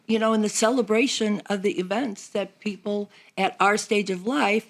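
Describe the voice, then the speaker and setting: A woman's voice: mid-range voice, steady tone, moderate pace. An older American woman, in her sixties or beyond, chatting casually in a group conversation as a member of a seniors' group. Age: 60s or older.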